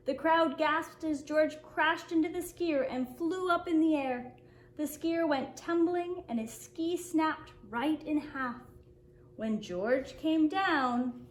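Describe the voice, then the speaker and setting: A woman reading a children's picture book aloud as a story-time host, in an expressive, deliberate storytelling manner for young children. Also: high voice. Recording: roomy echo, faint background noise.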